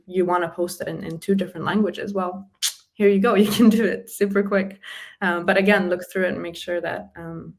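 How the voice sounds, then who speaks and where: A woman with a low, slightly creaky voice talks through practical advice in a casual interview. She explains step by step and laughs lightly between her points. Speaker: guest, communication specialist. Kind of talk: casual interview chat giving practical tips.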